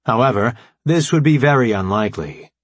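A man voicing a formal, logical artificial intelligence robot leader in an audiobook, explaining things in precise, measured language. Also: medium pitch, lively intonation, no echo, close to an everyday voice. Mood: measured, resigned. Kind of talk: audiobook character dialogue.

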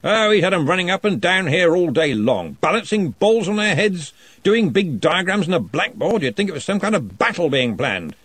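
A man voicing a big, jovial old wizard chancellor who talks loudly and heartily, with a faintly threatening cheer. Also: sits high, very animated, normal speed, slightly rough, no echo, pitched above the performer's own voice, full character voice.

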